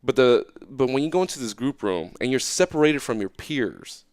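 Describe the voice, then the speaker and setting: A young man talking casually as an interview guest, animated and loose, often checking in with "right?". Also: medium pitch, normal speed, no room sound, clean studio sound.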